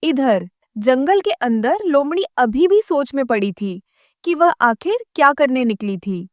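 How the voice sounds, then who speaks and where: A woman's voice: high-pitched, lively intonation, unhurried, no room sound, clean studio sound. A woman narrating a scripted children's cartoon story.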